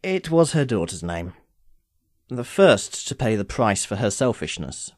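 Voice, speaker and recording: A man's voice: on the low side, sing-song, a little slow, dry recording. A man playing an urbane immortal sorcerer in an audio drama, speaking formally with dry, sardonic impatience. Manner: wry, formal, theatrical phrasing.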